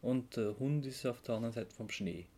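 A man's voice: medium pitch, brisk, over a noisy crowd. A man with an Austro-Bavarian accent co-hosting a podcast, explaining things informally and correcting himself as he goes. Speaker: podcast co-host. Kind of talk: casual explanatory chat.

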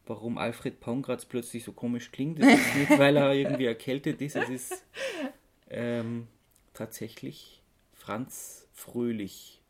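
A podcast host chatting casually, often halting to search for words. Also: mid-range voice, animated.

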